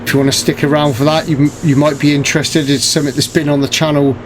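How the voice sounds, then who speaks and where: Man, congested and croaky, slightly high voice, loose hesitant delivery, workshop air-conditioning hum. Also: quick pace. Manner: relaxed, hesitant, many fillers and restarts. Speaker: paint sprayer, channel host. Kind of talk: casual talk to camera.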